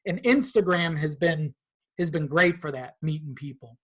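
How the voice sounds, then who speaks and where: A man's voice: fairly high pitch, normal speed, fairly bright tone. Casual, profane man chatting on a podcast. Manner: informal, profane. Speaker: podcast guest. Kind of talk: casual conversational interview.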